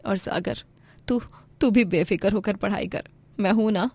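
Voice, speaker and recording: A woman playing a gentle, patient, self-sacrificing poor mother, earnest and sentimental, in a moral story. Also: medium pitch, normal speed, slightly rough, dry recording, close to an everyday voice. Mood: remorseful, reassuring. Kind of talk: dramatised Hindi moral story.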